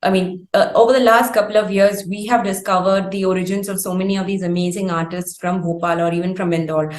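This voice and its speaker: Woman, Indian accent, echoey roomy sound, medium pitch, conversational interviewer. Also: fairly even intonation, fast, a little mellow.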